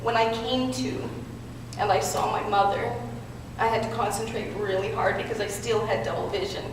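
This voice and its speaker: A woman's voice: mid-range voice, steady tone. Woman speaking in an echoey hall. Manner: earnest, measured personal storytelling. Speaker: awards ceremony speaker. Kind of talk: prepared inspirational speech. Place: large hall with audience. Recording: echoey hall from far off, background noise.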